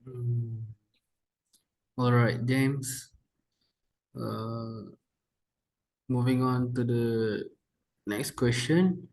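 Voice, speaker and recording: A young man interviews a fellow student, working through prepared questions at an unhurried, slow pace. He keeps it casual, with filler sounds between phrases. His voice sits at an ordinary middle pitch. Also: a little mellow. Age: young adult. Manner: casual, adds fillers, calls the guest bro.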